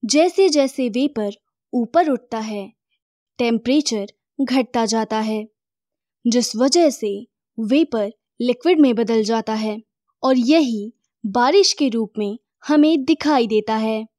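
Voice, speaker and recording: Woman, higher voice, scripted lesson narration, measured and even, close dry recording. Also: dry recording. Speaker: narrator of an animated explainer.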